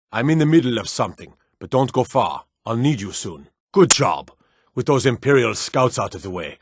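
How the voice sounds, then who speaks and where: A man playing a stern, battle-hardened military officer in a fantasy video game, brisk, commanding and gruffly matter-of-fact as he gives orders. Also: on the low side, dry recording, studio quality. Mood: curt, disappointed, then satisfied.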